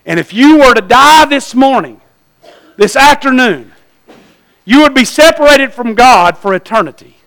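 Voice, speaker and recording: Bright, very high-voiced Southern male preacher. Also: expressive, moderate pace. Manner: folksy, exhortatory, repeating phrases for emphasis. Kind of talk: evangelistic church sermon with questions to the congregation.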